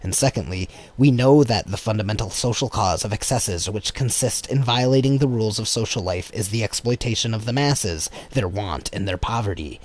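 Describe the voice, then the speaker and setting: A man reading a political text as an audiobook in a measured, formal way. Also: lowish voice. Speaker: audiobook reader. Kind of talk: scripted narration of a political text. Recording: clear background noise.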